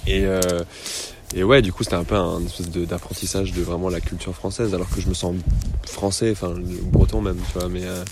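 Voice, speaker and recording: A young man in his twenties who grew up in the United States, answering interview questions in a relaxed, rambling way with frequent hesitations. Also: sits low, brisk, over music. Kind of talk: casual conversation.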